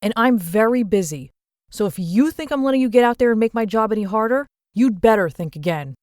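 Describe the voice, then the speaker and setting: A woman's voice: fairly high pitch, lively intonation, a little fast, darkish tone, no echo, studio quality, natural voice. A woman playing a curt, officious angelic boss with a clipped, sardonic manner in a comic dub. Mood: stern. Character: curt, officious female heavenly bureaucrat boss.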